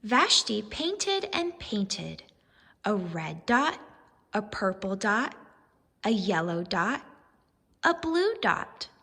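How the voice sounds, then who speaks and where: Slow, expressive woman reading to children. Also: medium pitch, breathy edge, big-room sound. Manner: expressive, deliberate, addressing young children. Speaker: teacher reading aloud. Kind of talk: children's storybook read-aloud with questions.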